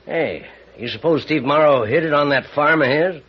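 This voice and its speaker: An actor playing a bitter, greedy older rancher with an American accent and a folksy, needling drawl in a radio western. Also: male voice, on the high side, moderate pace, husky. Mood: sly, scornful. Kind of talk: old-time radio western acting. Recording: muffled, noisy old radio recording.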